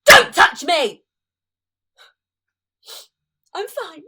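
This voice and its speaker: A woman's voice: extremely high pitch, very animated, takes it slowly, noisy background, full character voice. A woman playing a vain, melodramatic, flirtatious glamour queen with an affected, theatrical manner in a radio play. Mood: shrieking outrage. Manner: theatrical, affected, gasping and shrieking.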